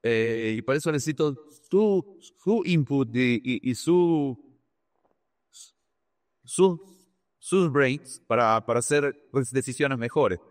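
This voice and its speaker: A man talks in an interview as an experienced executive. He has a mid-range voice and speaks haltingly, repeating words and slipping English terms into his Spanish. The sound is dry, with little room echo. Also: mid-range voice, animated. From Argentina. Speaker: business executive being interviewed. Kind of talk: conversational interview answers with anecdotes.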